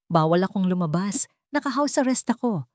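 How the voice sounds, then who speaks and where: A woman's voice: medium pitch, animated, normal speed, darkish tone, no room sound, studio quality, natural voice. Woman voicing a loyal, forgiving young working man. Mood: hesitant.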